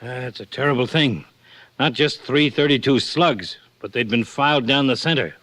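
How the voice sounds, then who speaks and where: A man's voice: mid-range voice, moderate pace, a bit bright, lightly acted. An actor playing a grim, sympathetic police man in 1940s radio drama, earnest and plain-spoken. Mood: grim concern.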